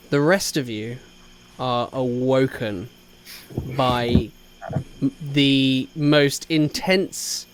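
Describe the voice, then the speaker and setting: A British man narrating an improvised fantasy game as game master, measured and dramatic, delivering it phrase by phrase. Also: mid-range voice, a little hiss or hum.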